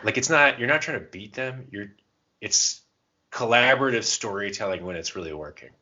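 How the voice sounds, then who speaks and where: A man co-hosting a podcast, chatting casually and telling stories by acting out quick bits of dialogue. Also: lowish voice, lively intonation, sharp tone, dull audio. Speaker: podcast co-host. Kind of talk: casual chat about tabletop roleplaying.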